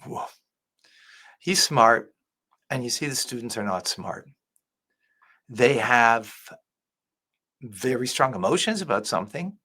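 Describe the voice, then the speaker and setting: A man's voice: medium pitch, lively intonation, slow pace, long pauses, bright, forceful tone, slightly rough. A man, a rabbi, giving earnest religious commentary as he reacts to a clip. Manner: earnest, preacher-like teaching.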